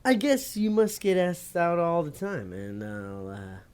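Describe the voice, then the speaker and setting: An actor as a dim, eager demon sidekick, swooping pitch. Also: male voice, huge pitch swings, a little slow, darkish tone, clear, resonant tone. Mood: flustered flirting. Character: dim but eager male demon sidekick, would-be charmer. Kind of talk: audio drama acting.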